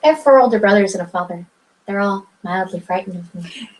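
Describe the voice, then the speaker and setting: A woman co-hosting a casual movie review chat, chatty and quick to jump in. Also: medium pitch, a little mellow, rough recording. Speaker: podcast co-host.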